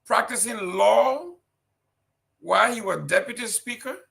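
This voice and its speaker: Liberian man, talk show commentator, high-pitched voice, bright and sharp tone, emphatic delivery. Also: a little slow, gravelly. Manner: indignant, emphatic rhetorical questions. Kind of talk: reading news and giving political commentary.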